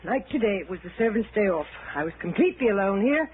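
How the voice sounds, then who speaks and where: An actress playing a haughty, commanding rich widow in 1960s radio drama, with clipped, stubborn delivery. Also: female voice, mid-range voice, sing-song, quick pace, narrow, tinny audio, close to an everyday voice. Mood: imperious. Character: imperious rich widow, haughty and stubborn old-money client. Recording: thin band-limited old radio recording, faint noise.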